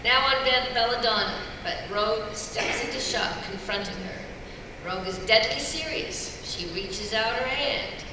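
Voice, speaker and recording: A woman's voice: on the high side, a little slow, close to an everyday voice. A woman voice actor reading a script aloud at a live table read, recorded from a distance in an echoey hall. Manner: playful, giggly between lines. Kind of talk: live script reading of stage directions and lines. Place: large convention room with live audience. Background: room ambience noise.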